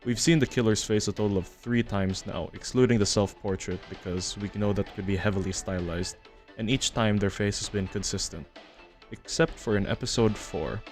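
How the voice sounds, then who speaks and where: A man narrating a horror commentary as a video essayist, measured and matter-of-fact, reading from a script. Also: fairly low pitch, steady tone, darkish tone. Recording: music under the voice-over. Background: background music.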